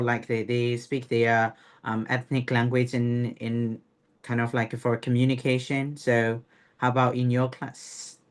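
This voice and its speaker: A man's voice: medium pitch, clean tone. A young man from Myanmar with a Burmese accent, a teacher educator presenting a webinar over a video call, hesitant with many fillers.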